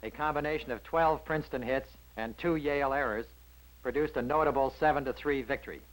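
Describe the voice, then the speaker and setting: A man's voice: mid-range voice, brisk, poor audio. 1960s American newsreel narrator, a man.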